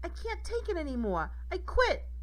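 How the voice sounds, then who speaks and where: A woman's voice: very high-pitched, huge pitch swings, normal speed, pitched above the performer's own voice, full character voice, low-quality recording. A woman voicing a weary old male tugboat captain in a storybook, grumbling in sailor talk. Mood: exasperated.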